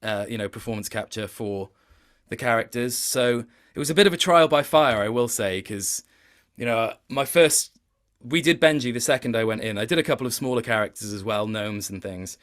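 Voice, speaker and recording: A man with a medium-pitched voice chats casually as an interview guest. He sounds like a working actor talking shop, thoughtful and a little self-deprecating, picking his way through each point. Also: normal speed, a bit bright.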